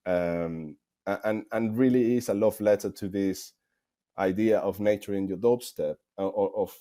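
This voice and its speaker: A man's voice: on the low side, fairly even intonation, measured pace, warm tone. A man from Spain with a Spanish accent, answering interview questions conversationally as a game developer, thinking aloud with frequent repeats. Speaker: game developer, guest speaker.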